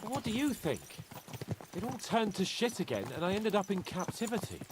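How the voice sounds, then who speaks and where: An actor playing a proud, petulant young nobleman in a medieval video game, complaining in an expressive, haughty way. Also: high voice, normal speed, background noise. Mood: bitter. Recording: loud game music behind.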